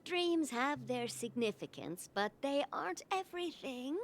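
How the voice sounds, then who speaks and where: A tender elderly woman in a story game. Her voice rises and falls a great deal, and she talks in a soft, reassuring way. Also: high voice, animated, unhurried. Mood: gentle. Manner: gentle, warm, reassuring. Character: kindly old woman caring for orphans. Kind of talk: game voice acting.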